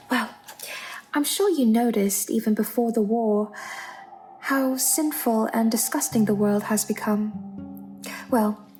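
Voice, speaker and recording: A woman playing a sweet, devout angel rescuer in an ASMR roleplay, with a gentle, soothing and primly pious manner. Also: fairly high pitch, lightly acted. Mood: pious, earnest. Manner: gentle, soothing, prim and pious, 1950s styled. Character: sweet, devout female angel rescuer tending a bunker survivor.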